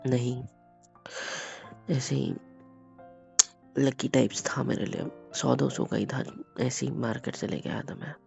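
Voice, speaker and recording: Male voice: young husband, quick-tempered but gentle, low hushed gravelly delivery, lo-fi audio-drama feel. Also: steady tone, soft background music. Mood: reassuring.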